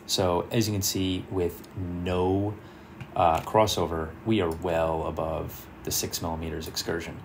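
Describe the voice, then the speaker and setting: A man presenting an informal screen-share software tutorial, explaining things and thinking aloud as he works. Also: low voice, little pitch movement, a little slow, a little hiss or hum. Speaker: car audio tutorial presenter.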